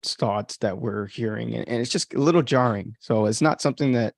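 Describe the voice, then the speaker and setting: Creaky-voiced man chatting casually on a livestream. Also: medium pitch, fairly quick, dry recording, clean studio sound. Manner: informal, self-critical, thinking aloud. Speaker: author and podcast host. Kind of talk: casual livestream commentary on own audio drama.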